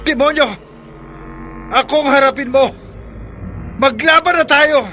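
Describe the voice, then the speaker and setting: An actor playing an anguished, defiant young son in a horror radio drama, with an impassioned, pleading delivery. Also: male voice, extremely high pitch, steady tone, unhurried, sharp tone, slight background noise, exaggerated voice. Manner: impassioned, pleading, respectful to elders.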